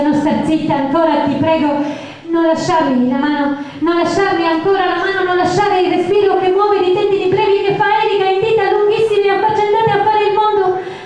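A woman's voice: very high-pitched, mellow. A woman performing a dramatic spoken-word poetry recital in an incantatory, rhythmic and insistently repetitive manner. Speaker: poet performer. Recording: very echoey, reverberant sound.

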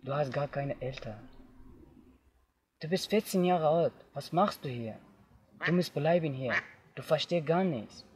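A young man from Afghanistan, telling his own story outdoors in simple, halting learner's German. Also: a little high, a little mellow. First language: not German. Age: young adult. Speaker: interviewee. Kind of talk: personal testimony. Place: outdoors in tall grass.

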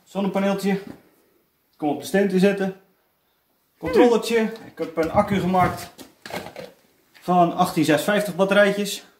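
Male DIY handyman, slightly high voice, casual explaining, very roomy workshop sound. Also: a little slow, pauses often. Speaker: handyman showing his DIY build. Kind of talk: casual explaining while working. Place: wooden workshop or garage, indoors. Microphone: phone held by someone else. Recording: echoey workshop, faint noise.

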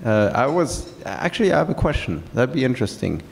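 A middle-aged man from France, speaking as a guest on stage in a large hall, pausing with many fillers. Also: medium pitch, big pitch swings, creaky, a little hiss or hum. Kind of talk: onstage conversation. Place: large event hall with seated audience. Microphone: handheld mic.

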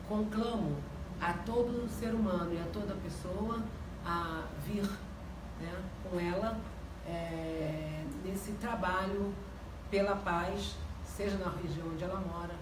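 A middle-aged woman interpreting live, phrase by phrase, with hesitant pauses. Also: lowish voice, fairly even intonation. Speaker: consecutive interpreter. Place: small indoor meeting room, seated. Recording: echoey room, distant mic, noisy.

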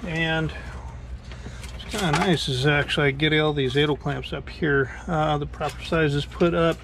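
A man's voice: fairly high pitch, slight background noise. A middle-aged man casually walking through a hands-on project, rambling and thinking aloud as he works. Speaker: hobbyist builder showing his project. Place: small cockpit rig inside a cluttered workshop.

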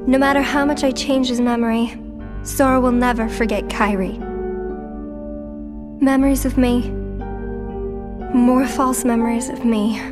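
Gentle young girl for a game, female actor: light high voice, hesitant, melancholy, resigned. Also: steady tone, unhurried, long pauses, music in the background, lightly acted. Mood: sad, resigned. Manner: soft, hesitant, sad. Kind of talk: video game cutscene acting.

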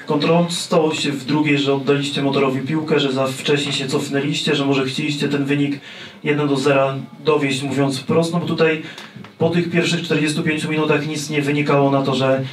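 A sports reporter asks his question in a level, flat tone on a fairly high pitch. He sits away from the mic in an echoey room with a little noise behind him. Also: fairly quick, distant mic. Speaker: sports journalist. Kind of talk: press conference question.

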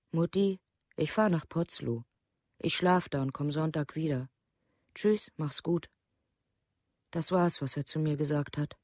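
A woman's voice: low voice, a little mellow, dry recording. Dry, close grieving mother. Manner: plain, simple, restrained recollection. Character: grieving village mother remembering her youngest son. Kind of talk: documentary radio drama monologue.

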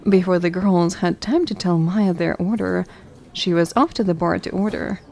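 Mellow, lower-pitched woman narrator. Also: steady tone, moderate pace, gravelly. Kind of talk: scripted story narration.

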